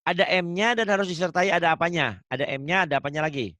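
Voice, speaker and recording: A man's voice: on the high side, quick pace, sharp tone, dry recording. A man teaching Quran recitation online, patiently drilling pronunciation and laughing often. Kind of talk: online tajwid lesson.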